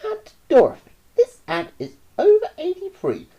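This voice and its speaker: A reader putting on a shrill, shouting little boy. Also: huge pitch swings, moderate pace, highs cut off, exaggerated voice. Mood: shouting, bossy. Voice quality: very high and shrill. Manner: yelling orders. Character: excitable young boy, bossy and shouting.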